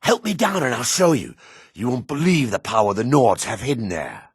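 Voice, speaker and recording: An actor playing a jaded, sardonic male dark elf in a fantasy video game, with a husky voice. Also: medium pitch, very animated, moderate pace, no echo, clean studio sound. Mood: frantic, pleading. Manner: sardonic, disdainful. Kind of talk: video game character voice acting.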